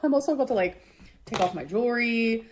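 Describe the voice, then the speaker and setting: A woman's voice: on the high side, fast talker, slight background noise. A woman talking to her camera in a chatty, gushing vlog style, full of fillers. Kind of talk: casual vlog talk to camera.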